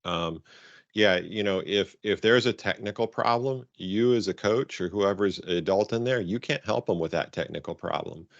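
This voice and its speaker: A man giving informal guidance to coaches on a conference call, halting and rephrasing as he goes. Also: fairly low pitch. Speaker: robotics coaching advisor leading a conference call. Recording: dull, roomy conference call audio.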